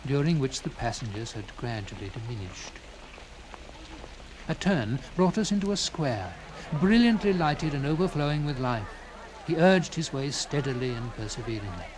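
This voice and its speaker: A man reads a gothic tale in a theatrical, deliberate manner, leaving dramatic pauses between phrases. His voice sits at a medium pitch, with clearly audible ambient noise behind it. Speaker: narrator. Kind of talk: dramatic first-person gothic story narration.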